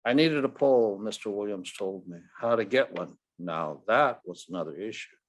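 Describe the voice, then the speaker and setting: A man, an author, reads his short story expressively, with deliberate, dramatic phrasing and strong emphasis. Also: fairly low pitch, animated. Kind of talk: expressive literary reading.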